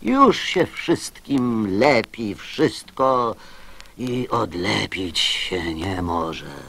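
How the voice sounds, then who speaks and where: Slow, hugely expressive husky man. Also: on the low side. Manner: theatrical, deliberate. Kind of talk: dramatic recital of children's verse.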